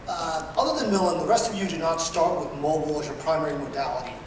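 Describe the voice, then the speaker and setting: Higher-voiced man moderating in an echoey room. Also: fast talker. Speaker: panel moderator. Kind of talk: live panel moderation, conversational questioning. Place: conference panel stage in a large room. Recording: echoey hall, distant mic, loud room noise.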